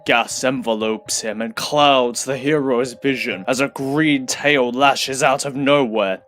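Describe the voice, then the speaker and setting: A man's voice: medium pitch, fairly bright tone. A man narrating a scripted superhero audio drama with dramatic, theatrical storytelling.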